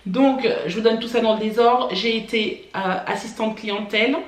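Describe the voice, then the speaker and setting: A woman born in France, telling personal stories to camera for her family vlog in a chatty way, often pausing on "euh" and "voilà". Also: on the high side, fairly even intonation, reverberant. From France (born in Paris). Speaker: family vlogger. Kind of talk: casual personal storytelling to camera.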